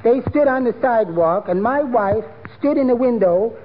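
A man's voice: extremely high pitch, expressive, normal speed. Meek henpecked little man, precise and timid, vintage radio comedy, very narrow-band. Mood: meek earnest. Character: meek, henpecked, mild little male amateur poet. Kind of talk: 1940s radio comedy acting. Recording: muffled, hissy 1940s radio broadcast.

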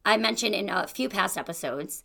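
A woman's voice: medium pitch, animated, moderate pace, vocal fry, pushed and bright. A young woman hosting a solo podcast, talking in a chatty, encouraging way about goals and excuses. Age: young adult. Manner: chatty and encouraging, with fillers like "you know" and "like". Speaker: podcast host. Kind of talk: casual solo podcast monologue.

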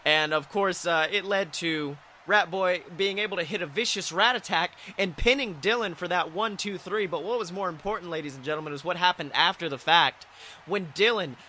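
A man playing an earnest, excitable wrestling commentator, recapping dramatically like an announcer. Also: high voice, moderate pace, a little hiss or hum. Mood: earnest, dramatic. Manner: dramatic, announcerly, easily outraged. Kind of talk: sports entertainment commentary.

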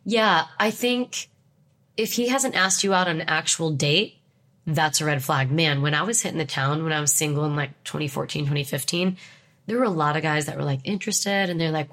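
A young woman with a stuffed-up nose from a cold, talking bluntly and emphatically. Her voice sits a little low, and she sounds opinionated and sure of herself. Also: fairly bright tone. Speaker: podcast co-host. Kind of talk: casual chat.

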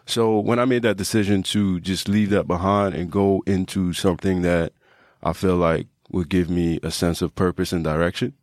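A man's voice: low voice, fairly even intonation, moderate pace, darkish tone, dry recording, clean studio sound. A man from Trinidad, a fitness coach and podcast guest, telling his own story in a casual, reflective, conversational way.

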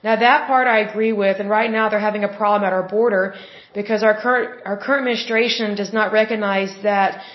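Woman doing her own podcast, kinda hoarse, opinionated, roomy dull lo-fi sound. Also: mid-range voice, steady tone, fairly quick. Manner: opinionated, conversational, many ums. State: recovering hoarse voice, clearing throat. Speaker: podcast host. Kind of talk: solo podcast commentary.

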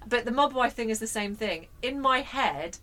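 Woman, podcast co-host, bright forceful voice, self-mocking, laughs a lot. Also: fairly high pitch, lively intonation, normal speed. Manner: chatty, self-mocking, laughs often, swears casually. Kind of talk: casual chat.

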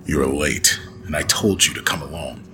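A man's voice: a bass voice, moderate pace, crowd noise. A man playing a cocky, unhinged rogue outlaw in a sci-fi audio drama, sardonic, menacing and theatrical. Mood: cold, demanding. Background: music.

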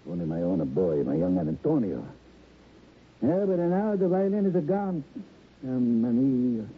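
An actor playing an emotional Italian immigrant violin repairman in an old radio drama, with a strong Italian accent and halting, exclamatory broken English. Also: medium pitch, big pitch swings, husky. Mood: distressed. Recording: muffled, noisy old radio recording.

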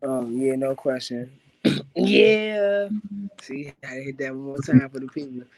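A young man from the Washington, D.C. area with a raspy voice, a rapper talking casually as an interview guest and filling his speech with "you know what I'm saying.". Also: medium pitch, expressive, unhurried, darkish tone, dry recording, poor audio.

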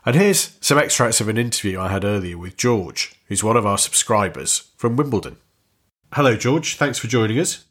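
A man's voice: on the low side, animated, moderate pace, a bit bright. A middle-aged man from Warrington, hosting and interviewing a guest in a chatty, friendly way. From Warrington, North West England. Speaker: podcast host.

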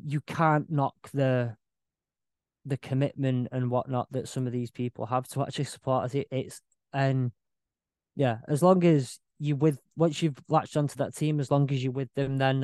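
A man's voice: mid-range voice, darkish tone, clear, resonant tone, no echo. A man appearing as a podcast guest, chatting casually and searching for his words as he goes. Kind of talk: casual chat.

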